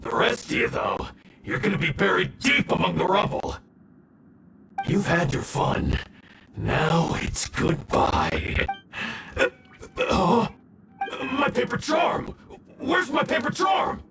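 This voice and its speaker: A man playing a gloating, sly bandit boss in a video game, taunting with theatrical, drawn-out threats. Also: high-pitched, wildly swooping pitch, creaky, background noise, heavily acted. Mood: panicked, indignant. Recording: echoey, distant, loud music bed. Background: game music.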